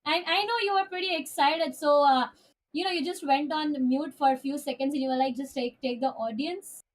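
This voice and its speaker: A woman's voice: high-pitched, fairly quick, rough recording. A woman casting a live esports match over a headset mic, talking in a chatty way with many filler sounds. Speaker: esports caster.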